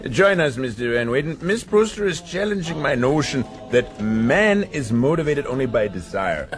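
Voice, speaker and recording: An actor playing a domineering, philosophizing sea captain in a radio drama, with a commanding, argumentative manner. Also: male voice, mid-range voice, very animated, normal speed, music in the background, close to an everyday voice. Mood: mocking, argumentative. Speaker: ship's captain.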